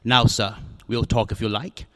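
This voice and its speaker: Casting: an actor as a genteel fat crook, florid and courtly, with a middle-pitched voice and a long reverb behind him. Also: male voice, little pitch movement, normal speed, fairly bright tone, close to an everyday voice. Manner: ornate, courtly, says "sir" often. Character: smooth, fat older male villain, genteel. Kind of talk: radio drama acting.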